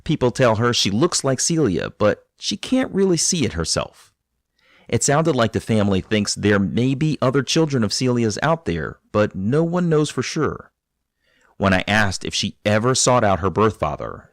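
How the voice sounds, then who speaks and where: A man hosting a podcast, narrating a guest's story and interviewing her in a calm, measured, encouraging way. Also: on the low side, no echo, clean studio sound. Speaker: podcast host.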